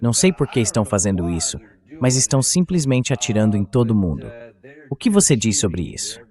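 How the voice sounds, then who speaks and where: A man's voice: fairly low pitch, big pitch swings, brisk, darkish tone. Synthetic male interview host. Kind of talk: translated interview dub, conversational questioning.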